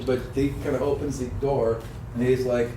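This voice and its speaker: A man's voice: medium pitch, flat delivery, moderate pace. A man narrating a tabletop game as game master, informally and with casual swearing. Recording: noisy echoey room, distant mic.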